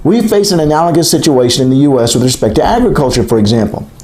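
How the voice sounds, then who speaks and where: A man reading a textbook aloud as scripted narration, in a measured, clearly enunciated lecturing way. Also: a little high, big pitch swings, darkish tone. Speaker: author reading an audiobook chapter.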